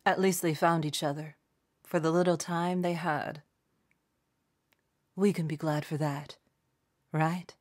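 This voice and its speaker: A woman playing a tender, bereaved lady friend in a mock documentary audio drama, mournful and soft-spoken. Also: deep voice, steady tone, slow, long pauses, dry recording, studio quality. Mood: sad, consoling.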